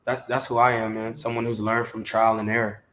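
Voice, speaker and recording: A man's voice: medium pitch, little pitch movement, brisk, fairly bright tone. A man from Maryland speaking casually as an interview guest, his talk peppered with "you know". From Maryland, DC area, United States. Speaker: interview guest, talent manager.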